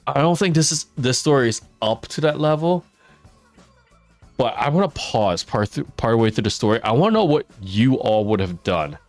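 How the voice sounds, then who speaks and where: A man telling stories on a live stream while he plays a game, chatting with his viewers and laughing often. His loud voice is held down for the mic. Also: mid-range voice, expressive, moderate pace, no room sound, soft background music. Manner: chatty, laughs often, reads and answers chat. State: mouth watering after dental work. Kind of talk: casual live-stream storytelling while gaming.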